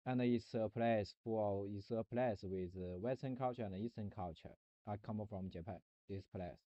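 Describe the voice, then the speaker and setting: A man with a fairly low voice delivers a meandering philosophical monologue on a stream, stopping to search for words and circling back over the same phrases. The sound is dry and close, with a dull, lo-fi stream quality. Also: mellow, dry recording. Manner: halting, searching for words, many restarts and repetitions.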